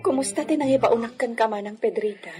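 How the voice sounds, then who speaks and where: An actress playing a hurt, trusting young lady of a hacienda household in an Ilocano radio drama, her voice slightly breathy. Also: a little high, quick pace, a little mellow, faint music behind, lightly acted. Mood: hurt, questioning.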